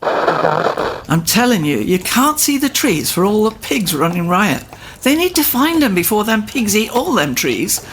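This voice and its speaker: A woman's voice: medium pitch, big pitch swings, unhurried, slight background noise, low-quality recording. A woman playing an aggrieved old-time village woman, indignant and emphatic in a comic audio drama.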